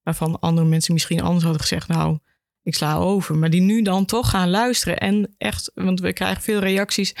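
Woman interviewee with a creaky voice. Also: on the low side, flat delivery, moderate pace, a little mellow, no room sound, studio quality. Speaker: archive education officer. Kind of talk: conversational interview answers.

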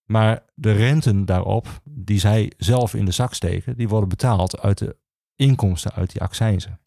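A man the explains history as an interview guest, haltingly searching for his words. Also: fairly low pitch, creaky, mellow, no room sound, studio quality. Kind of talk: explanatory conversation for a history podcast.

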